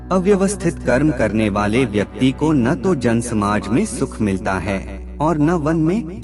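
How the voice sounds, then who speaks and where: A man reading scripted maxims as a narrator, in a formal, measured and deliberate way. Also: fairly low pitch.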